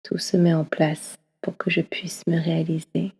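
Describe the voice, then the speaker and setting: A woman leading a guided yoga nidra session, speaking slowly and softly, often slipping into a half-whisper. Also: low-pitched, little pitch movement, breathy edge. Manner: slow, soft, often half-whispered delivery. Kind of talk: guided meditation. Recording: long reverb as in a hall.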